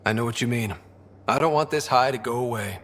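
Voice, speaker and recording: An actor playing a young, easygoing and wry explorer hero in a video game, with a husky voice. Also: male voice, mid-range voice, brisk, a bit bright, long reverb tail, slight background noise, natural voice. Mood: upbeat, teasing.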